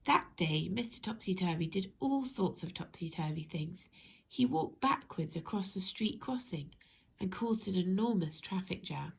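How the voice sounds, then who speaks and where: A woman narrating a children's story expressively, in measured phrases that speak directly to young listeners. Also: fairly low pitch, animated, gravelly, slight background noise. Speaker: storybook reader.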